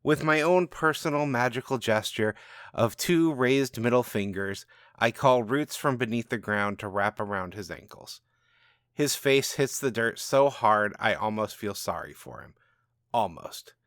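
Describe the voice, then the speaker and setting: A man's voice: mid-range voice, unhurried, a bit bright, no room sound, clean studio sound, natural voice. A man reading a storybook tale as a cocky, irreverent and powerful rogue wizard, brash and conspiratorial. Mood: smug, bitter. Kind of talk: first-person storybook narration.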